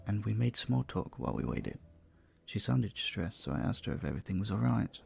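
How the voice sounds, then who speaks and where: A man playing a charming, polite vampire bartender in an intimate audio roleplay, soft-spoken and slightly formal. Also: on the low side, gravelly, breathy edge, exaggerated voice. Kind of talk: audio roleplay, intimate one-sided dialogue.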